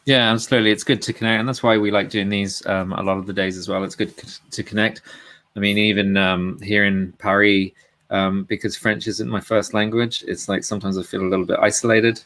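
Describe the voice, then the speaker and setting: A man's voice: lowish voice, flat delivery, a little fast, fairly bright tone, no room sound. A man co-hosting a webinar, chatty and joking with many fillers. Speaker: co-host of webinar. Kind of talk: casual webinar presenting.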